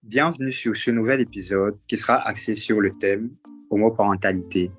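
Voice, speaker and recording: A man hosting a podcast, delivering a clear scripted introduction. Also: fairly low pitch, steady tone, normal speed, soft background music. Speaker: podcast host.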